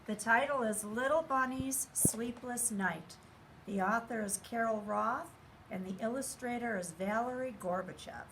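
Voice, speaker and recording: A woman's voice: fairly high pitch, unhurried, noisy background. A woman reading a children's picture book aloud expressively, speaking directly to young listeners. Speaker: story reader.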